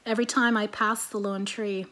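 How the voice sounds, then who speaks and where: Woman reading her essays softly. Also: mid-range voice, slight background noise. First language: French. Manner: calm, measured reading with quiet, breathy trailing phrase ends. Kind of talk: reading lyric essays aloud. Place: living room at home. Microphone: laptop camera, webcam framing.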